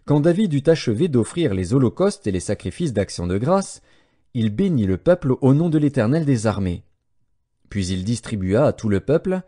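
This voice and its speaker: A male audiobook narrator reads scripture in a solemn, measured way. His voice is soft-edged and warm, at a medium pitch. The intonation rises and falls widely from phrase to phrase, keeping the formal reading animated.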